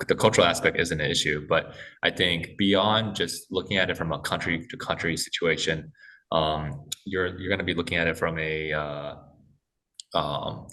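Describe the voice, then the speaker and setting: A man speaking as a podcast guest, talking haltingly with many restarts and fillers. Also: low voice, steady tone, bright, forceful tone, far from the mic. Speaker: guest, corporate development head. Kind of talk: casual podcast interview.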